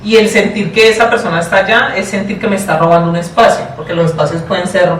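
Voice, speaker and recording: A woman with a Colombian accent answering interview questions in a thoughtful, deliberate way, often repeating and rephrasing herself. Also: mid-range voice, steady tone. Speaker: interview guest, public education official. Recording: roomy, distant mic, faint noise.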